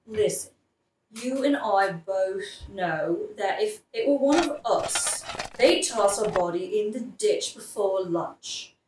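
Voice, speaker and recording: A woman's voice: mid-range voice, lively intonation, takes it slowly, distant mic. A woman playing a weary, skeptical, drunken gravedigger sidekick, blunt and questioning, in a comic audio drama. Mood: exasperated. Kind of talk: modern-language comic audio drama acting. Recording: echoey, noisy, off-mic.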